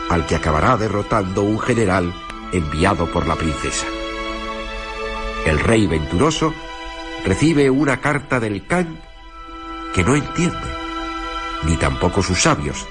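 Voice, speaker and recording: Low-voiced male radio narrator over music. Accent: Castilian Spanish. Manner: deliberate, short phrases. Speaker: narrator and presenter. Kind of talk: scripted radio story recap narration. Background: prominent background music.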